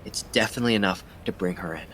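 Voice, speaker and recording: A man's voice: deep voice, racing, fairly bright tone, slight background noise. A man acting as an earnest, methodical police detective in an audio drama. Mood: intent, reasoning.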